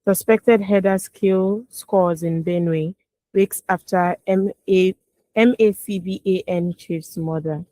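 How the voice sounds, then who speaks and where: A Nigerian woman co-hosting a radio newspaper review, reading headlines briskly and adding short remarks. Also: mid-range voice, steady tone, dark tone, no room sound. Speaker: radio news review co-host. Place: radio studio.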